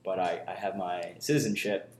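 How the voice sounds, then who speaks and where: Man, twenties, from Maryland, lowish pitch, casual podcast guest, roomy echo. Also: fairly even intonation, moderate pace, a little mellow. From Maryland, United States. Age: 20s. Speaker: podcast guest, improv comedian. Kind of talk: casual chat. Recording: roomy echo, faint low rumble.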